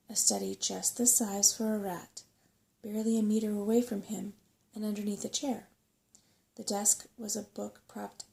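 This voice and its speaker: A soft-spoken woman narrating a bedtime story in an ASMR style. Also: mid-range voice, vocal fry.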